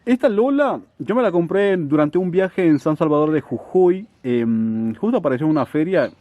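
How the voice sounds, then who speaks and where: A man's voice: fairly high pitch, lively intonation, dry recording, phone-quality sound. A man from in a casual, chatty show-and-tell, often asking "¿no?" as he talks. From Salta, Argentina. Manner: chatty, unhurried, frequent "¿no?" asides. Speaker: succulent grower, channel host.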